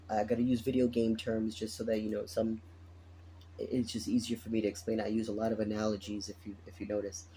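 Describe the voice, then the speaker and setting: Tired raspy-voiced man reading tarot. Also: fairly low pitch, dark tone, slight background noise, rough recording. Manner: chatty, repeats phrases, laughs often. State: tired, morning voice. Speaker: tarot reader. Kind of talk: casual spoken tarot reading.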